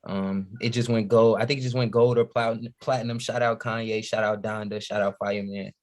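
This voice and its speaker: A man's voice: lowish voice, flat delivery, a little fast. A man from Chicago with an American accent chatting casually and slangily as a podcast guest. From Chicago, USA. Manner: slangy, keeps giving shout-outs.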